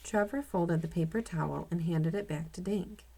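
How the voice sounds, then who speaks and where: A woman's voice: lowish voice, normal speed, creaky, warm tone, breathy edge, low-quality recording. A woman reading a children's storybook aloud as the narrator.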